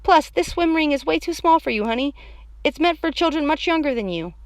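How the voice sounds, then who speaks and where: A woman's voice: sits high, animated, brisk, no room sound, pitched above the performer's own voice. A woman voicing a firm, practical mother in a children's audiobook, explaining things plainly. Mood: doubtful.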